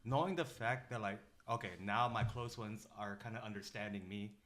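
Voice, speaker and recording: A man's voice: lowish voice, moderate pace, a bit bright. A man co-hosting a podcast, chatting informally with slang. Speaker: podcast co-host. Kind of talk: casual chat with swearing.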